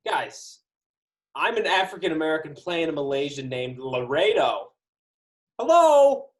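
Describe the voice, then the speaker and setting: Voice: medium pitch, big pitch swings, measured pace. A performer playing a disgruntled, resentful television actor from a sci-fi crew, in an amateur comedy table read. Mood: indignant.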